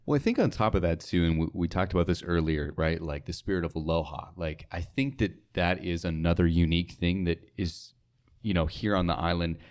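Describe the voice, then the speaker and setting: Deep-voiced American man, casual interviewer. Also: brisk, darkish tone. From mainland United States. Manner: informal, heavy use of "like". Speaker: interview host. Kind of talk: casual chat.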